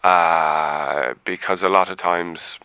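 A man playing an odd caller who gives absurd advice in a flat, matter-of-fact way. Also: low voice, takes it slowly, clear, resonant tone, no room sound, exaggerated voice. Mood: deadpan.

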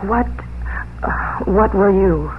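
An actress playing a young, proud but kindly colonial farm mistress, proper, refined and earnest, in a 1950s radio drama. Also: female voice, medium pitch, slow, narrow, tinny audio, a little hiss or hum, natural voice. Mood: shaken. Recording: band-limited old radio broadcast with music.

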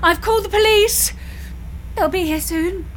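A woman's voice: extremely high pitch, moderate pace, a little hiss or hum. A woman acting an anxious, frightened character calling out for a friend in an audio drama. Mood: anxious, fearful.

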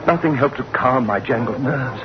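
A man playing a jittery, guilt-ridden husband in a 1960s radio horror drama, tense and halting. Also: mid-range voice, lightly acted. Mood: haunted, fearful. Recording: muffled old radio recording, loud music.

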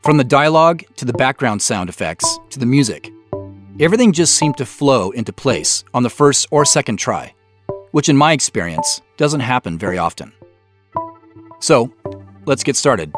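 A man's voice: medium pitch, big pitch swings, moderate pace, music in the background. A man narrating a director's commentary as host, explaining his creative work in a reflective way. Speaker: podcast host, writer and producer.

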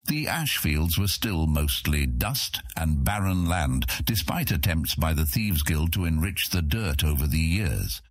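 Deep, expressive male fantasy narrator. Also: slightly rough, dry recording, clean studio sound. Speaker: audiobook narrator. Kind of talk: scripted dramatic fantasy narration.

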